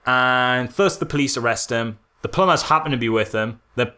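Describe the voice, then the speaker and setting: A man's voice: medium pitch, moderate pace, sharp tone. A man co-hosting a casual film critique chat, speaking in an incredulous, emphatic, mocking way. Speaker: podcast co-host.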